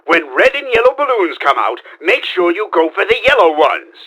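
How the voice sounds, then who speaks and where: A man playing a pompous, scheming middle-aged mad-scientist villain in a video game, with a gravelly, forceful, theatrical delivery. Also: high-pitched, big pitch swings, normal speed, exaggerated voice. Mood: boastful showman. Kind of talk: exaggerated video game voice acting.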